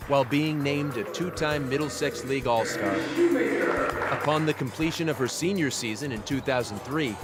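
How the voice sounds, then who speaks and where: A man reading a scripted tribute narration in a measured, formal way. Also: medium pitch. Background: music.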